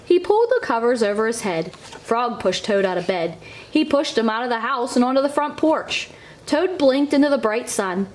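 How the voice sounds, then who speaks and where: A woman's voice: fairly high pitch, very animated, moderate pace, a little hiss or hum. A woman, a mother, narrating a children's storybook aloud for her child.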